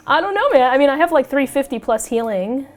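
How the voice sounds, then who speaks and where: A woman hosting a casual podcast chat, a little raspy as she gets over a cold, chatty and excitable and quick to laugh. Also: high-pitched. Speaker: podcast host.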